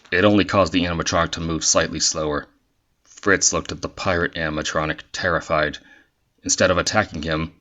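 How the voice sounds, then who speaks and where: A man's voice: extremely low pitch, sharp tone, dull audio. A man reading scripted story narration for an audio drama.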